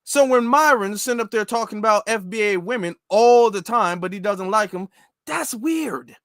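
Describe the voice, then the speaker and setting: A man from the United States delivers an opinionated commentary with a high, bright, ringing voice. He is sarcastic and mocking, often stammering and repeating words, and he swings between loud and hushed. The sound is dry and close, with no room echo. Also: animated, moderate pace. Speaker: commentary channel host.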